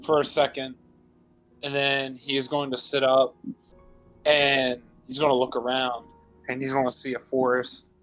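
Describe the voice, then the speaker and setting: A man's voice: mid-range voice, monotone, unhurried, fairly bright tone, faint music behind, rough recording. A man playing a tabletop roleplaying game, chatting casually and playfully as he describes his character.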